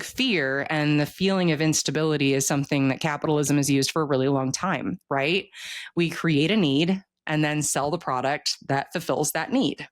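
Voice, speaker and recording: A woman with a low-pitched voice talks in a relaxed interview style. The sound is close and dry. She explains her views earnestly, often framing them as rhetorical questions. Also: no echo. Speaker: author being interviewed. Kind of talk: casual conversational interview.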